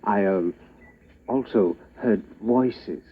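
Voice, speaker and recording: An actor playing a sly, insinuating man in a 1940s radio drama, talking slowly and hesitantly, with a husky voice on a tinny old broadcast. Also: on the low side, full character voice. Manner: hesitant, slow, creepy insinuation. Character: sly, insinuating middle-aged man, blackmailer type.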